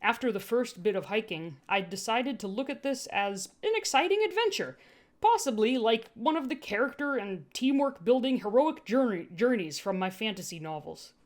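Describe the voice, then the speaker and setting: Woman reading fan fiction out loud, expressive audiobook style but informal, casual little asides. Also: fairly high pitch, moderate pace. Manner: informal, breaks off to laugh and comment. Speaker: amateur fan fiction narrator.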